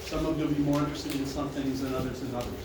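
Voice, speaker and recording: A man's voice: medium pitch, level tone, fast talker. Male seminar presenter, big carrying voice, chatty and welcoming; recorded from a distance in a reverberant dining room with background noise. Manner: friendly, conversational, invites questions. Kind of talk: presentation to a seated audience. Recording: echoey room, mic far off, noisy.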